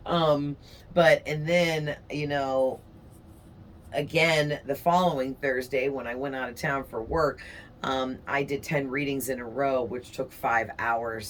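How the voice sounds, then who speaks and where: A woman tarot reader talking casually to her viewers, her voice raspy and hoarse from strain, rambling along with frequent "okay?" tags. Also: extremely low pitch, a little hiss or hum. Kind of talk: casual chatty monologue to viewers.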